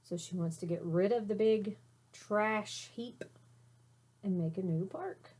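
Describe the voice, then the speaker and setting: A woman with a very unhurried delivery and a medium-pitched voice reads a picture book aloud. She sounds like a teacher addressing young children, phrasing expressively and explaining things in simple words. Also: darkish tone, breathy edge. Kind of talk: storybook read-aloud with explanatory asides.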